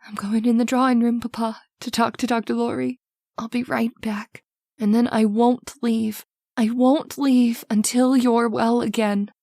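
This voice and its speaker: An actress playing a devoted young married daughter in an old-time radio horror drama, with an earnest, melodramatic delivery. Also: female voice, a little high, flat delivery, measured pace, darkish tone, no echo, studio quality. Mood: anxious, remorseful.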